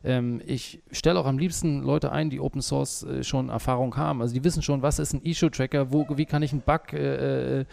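Hesitant gravelly man, dark voice. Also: mid-range voice, steady tone, a little fast, hardly any pauses. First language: German. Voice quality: hesitant, many fillers. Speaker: interview guest, small business owner. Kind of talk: conversational interview.

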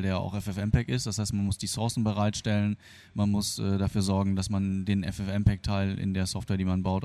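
A man's voice: on the low side, warm tone. A man who grew up in Germany, explaining things in a colloquial, hesitant way as an interviewee. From born Argentine, grew up in Germany. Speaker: interviewee, free software developer. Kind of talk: spontaneous interview answers, explanatory.

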